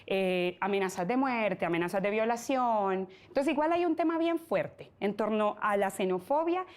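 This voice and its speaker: A woman's voice: mid-range voice, lively intonation, normal speed, a little mellow. A woman from Colombia with a Colombian accent, a debate guest who argues earnestly in long, flowing points. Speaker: pro-migrant activist guest. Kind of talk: debate argument.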